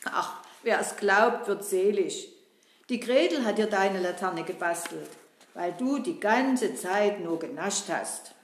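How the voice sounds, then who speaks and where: A woman voicing a cheeky, lively puppet boy who chats and teases, talking to the audience. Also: mid-range voice, slow. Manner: chatty, teasing, addresses the audience. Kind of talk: puppet-show acting. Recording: roomy echo.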